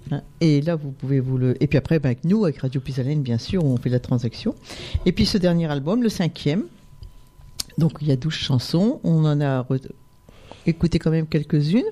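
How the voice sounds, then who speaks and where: A man's voice: a little high, a little fast, warm tone. A man hosting a radio interview in a studio, chatting loosely and guiding the talk with many fillers. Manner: chatty, wraps up and plugs the album. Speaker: radio host.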